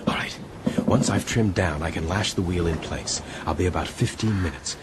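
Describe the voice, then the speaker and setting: An actor in a radio drama playing an earnest, courteous ship's mate, measured and purposeful. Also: male voice, low-pitched, natural voice. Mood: urgent, resolute. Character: earnest, resolute ship's mate, educated man plotting escape. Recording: audio drama with clear ambient noise.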